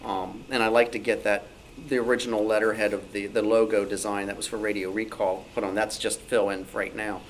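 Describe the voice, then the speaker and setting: A man's voice: lowish voice, level tone, a little fast. Man explaining informally, noisy meeting recording. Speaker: club newsletter editor, panelist. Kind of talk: informal panel talk at a club meeting. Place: club meeting room. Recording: noticeable background noise.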